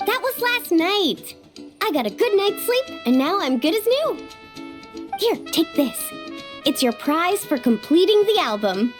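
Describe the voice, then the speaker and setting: Upbeat young guide girl in a game, really high, lively voice, music under it. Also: a little slow, full character voice. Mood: cheerful. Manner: breezy, chummy, energetic. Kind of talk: English video game voice acting.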